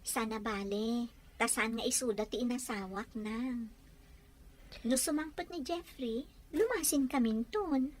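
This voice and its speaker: A woman playing a polite, gentle young wife who speaks in a soothing, respectful way. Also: fairly high pitch, a little slow.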